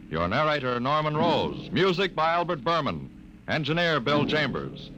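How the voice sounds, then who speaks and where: 1950s radio announcer reading credits, man. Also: mid-range voice. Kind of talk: formal closing credits announcement. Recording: noisy 1950 radio transcription.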